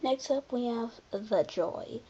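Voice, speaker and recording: A commentator giving casual, unscripted video commentary in an informal, halting way, often backtracking. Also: medium pitch, dark tone, husky, lo-fi sound. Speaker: video creator presenting a ranking.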